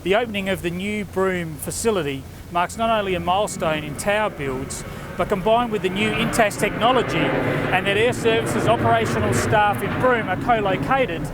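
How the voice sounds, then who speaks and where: A middle-aged Australian man presenting scripted corporate narration in a formal, measured way. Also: sits high, very noisy. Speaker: executive presenter.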